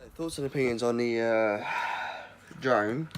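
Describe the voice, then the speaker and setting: Hoarse lad rambling into his vlog. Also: mid-range voice, measured pace, a little hiss or hum. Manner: rambling, chatty, filler-heavy. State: hoarse with a head cold. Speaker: vlogger. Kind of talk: casual vlog chat.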